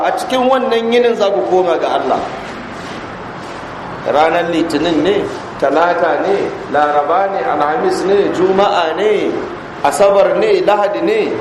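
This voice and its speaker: A man with a Hausa accent, a Nigerian Islamic scholar teaching in a mosque, reading Arabic lines and then explaining them slowly. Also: fairly high pitch. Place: large mosque hall. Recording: echoey hall recording with background noise.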